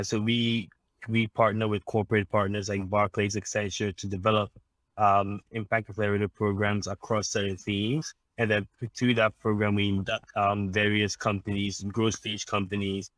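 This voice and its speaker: A man from Ghana, an impact investor, answering interview questions in a measured, explanatory way with frequent ums and restarts. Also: lowish voice, fairly bright tone, no room sound, rough recording.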